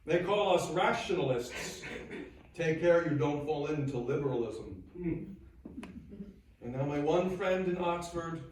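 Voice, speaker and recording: An actor playing a 19th-century churchman bidding friends a sorrowful, earnest farewell, in a solemn, deliberate theatrical reading. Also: fairly high pitch, animated, slight background noise. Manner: solemn, deliberate, emotive, oratorical with weighted pauses. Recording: very echoey space, distant microphone.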